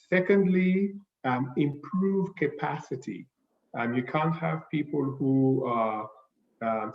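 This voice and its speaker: A man answers a panel question over a video call, speaking in measured steps and filling gaps with frequent hesitations. His voice sounds muffled and dull, as if it is coming from a bare, echoey room. His pitch sits in an ordinary middle range. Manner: measured, many filled pauses. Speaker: report author answering questions.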